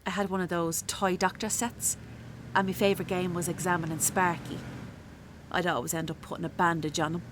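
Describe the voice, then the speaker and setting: A woman with an Irish accent playing a weary, sharp-tongued working-class mother in a radio drama, wry and blunt. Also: on the low side, level tone, a little fast, natural voice. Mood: wistful. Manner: wry, blunt, earthy talk. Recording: clearly noisy, traffic and ambience behind.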